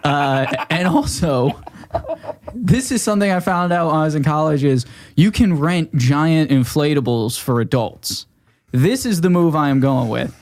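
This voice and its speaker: Higher-pitched man telling casual funny stories. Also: animated, a little slow, a little mellow. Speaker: comedian and podcast guest. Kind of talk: casual humorous storytelling.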